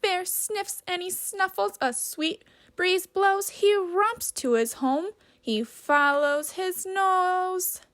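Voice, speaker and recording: Slow, high-pitched woman reading to children. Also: fairly bright tone. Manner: slow, deliberate, instructive, pausing to ask questions. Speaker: teacher reading aloud to children. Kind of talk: storybook read-aloud with comprehension questions.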